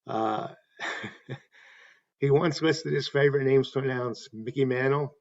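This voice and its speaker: A man hosting a tribute talk, reading anecdotes in a halting way and correcting himself as he goes. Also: medium pitch, dull audio. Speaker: host paying tribute to a stadium announcer.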